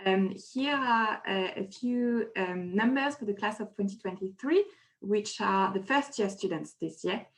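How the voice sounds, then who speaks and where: A woman's voice: mid-range voice, lively intonation, unhurried, rough recording. A woman hosting a webinar over a video call, presenting and asking a student questions, with many fillers. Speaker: webinar host.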